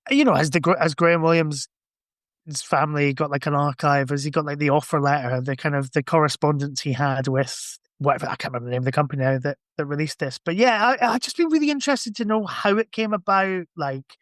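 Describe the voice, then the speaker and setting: A man's voice: on the high side, quick pace, a bit bright, no echo, studio quality. A man in casual podcast conversation, thinking aloud in a rambling way. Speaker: podcast guest or co-host. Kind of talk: casual chat.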